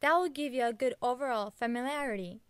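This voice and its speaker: A woman playing a calm, reassuring rifle trainer in an audio drama. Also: fairly high pitch, fast, a little hiss or hum, lightly acted. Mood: calm instructive. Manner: reassuring, instructive. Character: calm, encouraging female rifle trainer with Russian touches.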